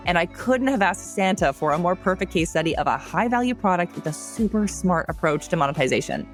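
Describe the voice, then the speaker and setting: A woman hosting a podcast, upbeat and enthusiastic as she introduces a guest and asks questions. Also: lowish voice. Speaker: podcast host. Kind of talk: scripted intro then interview questions.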